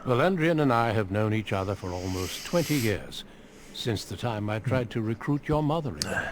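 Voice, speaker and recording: An actor playing a courteous, solemn middle-aged veteran warrior in a video game, speaking in a formal, measured way. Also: male voice, lowish voice, sing-song, slight background noise. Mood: earnest, measured. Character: courteous, solemn veteran Grey Warden recruiter, a man. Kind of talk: video game dialogue acting.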